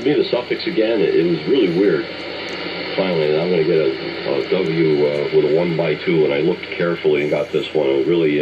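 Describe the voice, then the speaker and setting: A middle-aged or older American man chatting casually and hesitantly as an amateur radio operator, heard through an AM radio transmission. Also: rumbling low. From United States. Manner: rambling, hesitant, reminiscing. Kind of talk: casual ham radio chat. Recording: muffled, noisy AM shortwave radio signal. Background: radio static noise.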